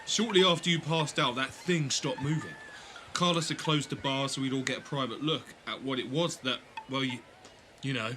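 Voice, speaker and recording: A man's voice: mid-range voice, normal speed, noisy background, lightly acted. An actor playing a blunt, easily exasperated male companion in a fan audio drama. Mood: uneasy. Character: exasperated, plain-spoken male companion of the hero.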